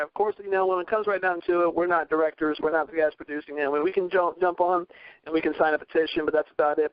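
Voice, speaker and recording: A man's voice: on the high side, no room sound. A man hosting a podcast discussion in an affable, agreeable, conversational way. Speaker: podcast host moderating a discussion. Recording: slightly dull, dry radio-like sound.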